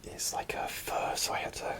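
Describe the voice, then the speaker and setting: A man whispering an ASMR show-and-tell in a soft, unvoiced whisper, hesitant and full of small fillers, partly from inside a costume helmet. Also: moderate pace. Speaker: ASMR creator.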